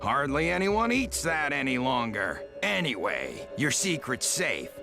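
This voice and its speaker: A man playing a cocky, grumbling alien invader from a video game, with gravelly, sardonic, slangy delivery. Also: mid-range voice, very animated, a little slow, music in the background. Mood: grudging, sardonic. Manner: sardonic, slangy wise guy. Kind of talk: animated video game voice acting.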